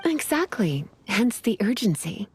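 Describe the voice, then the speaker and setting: An actress playing a languid, sultry mage in a video game, coy and affectionate. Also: female voice, medium pitch, sing-song, unhurried, a little hiss or hum. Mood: coaxing. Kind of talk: animated game dialogue acting.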